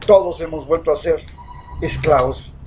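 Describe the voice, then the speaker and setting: A man with an Ecuadorian accent delivering an impassioned, rhetorical opinion monologue as a social commentator, swinging between loud outbursts and hushed asides. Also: on the high side, moderate pace, a little hiss or hum.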